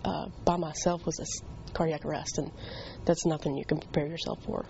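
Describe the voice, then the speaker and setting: An American woman dispatcher talks quickly in a slightly low voice. Her recording is a little dull, with faint noise behind. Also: fairly even intonation, creaky, dark tone. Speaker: emergency medical dispatcher. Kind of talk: scripted call-taking and interview talk.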